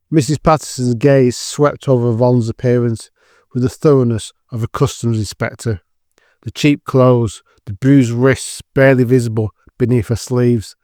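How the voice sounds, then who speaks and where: A man's voice: medium pitch, a little mellow, no room sound, clean studio sound. A man giving scripted narration as the storyteller of an audio drama.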